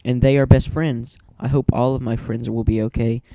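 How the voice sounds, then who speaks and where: Man reading a mellow, worried female unicorn. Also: mid-range voice, steady tone, moderate pace, no echo, natural voice.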